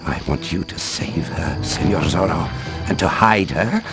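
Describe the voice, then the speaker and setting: An actor playing a worried, learned middle-aged professor in a dramatic game cutscene, speaking formally and gravely. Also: male voice, low-pitched, expressive, measured pace, loud music behind the voice, heavily acted. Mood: grave, pleading. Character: worried learned professor, father of captive daughter.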